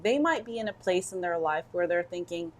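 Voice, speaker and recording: Slow-asking woman interviewer, lowish voice. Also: animated. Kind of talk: interview questions.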